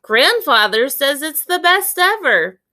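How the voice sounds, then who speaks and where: A woman's voice: high voice, sing-song, unhurried, bright, forceful tone, pitched above the performer's own voice, exaggerated voice. A woman voicing an anxious, earnest ten-year-old farm boy from a storybook, full of pleading questions. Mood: earnest, curious.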